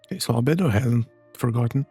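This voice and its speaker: A gaming streamer with a soft, husky voice, giving casual let's play commentary. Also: medium pitch, fast talker. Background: game music.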